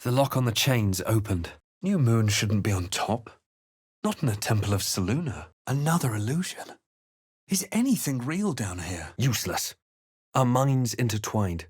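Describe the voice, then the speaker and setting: An actor playing a brooding male adventurer in a fantasy game, narrating his quest in a measured, theatrical, grave manner. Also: mid-range voice, lively intonation, darkish tone, husky, dry recording, clean studio sound. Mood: ominous, reflective. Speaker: player character in fantasy role-playing game. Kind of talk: dramatic scripted game voice lines.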